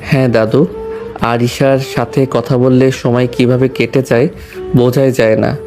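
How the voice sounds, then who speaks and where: A man playing a polite, calm young husband in an audio story, with deferential, measured phrasing. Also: mid-range voice, soft background music, natural voice. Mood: polite, doting.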